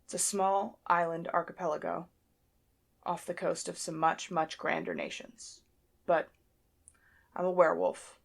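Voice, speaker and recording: A woman's voice: fairly low pitch, little pitch movement, a little slow, gravelly, long pauses. A woman playing a guarded, blunt female werewolf pack leader in an audio drama, with a dry, informal way of talking. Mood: explaining. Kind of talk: scripted audio drama acting.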